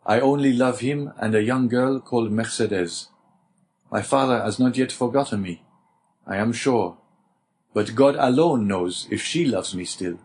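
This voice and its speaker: A man's voice: mid-range voice, measured pace, a little mellow, slight background noise, close to an everyday voice. A man doing a desperate young prisoner. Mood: pleading. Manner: pleading, emotional. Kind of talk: audiobook character reading.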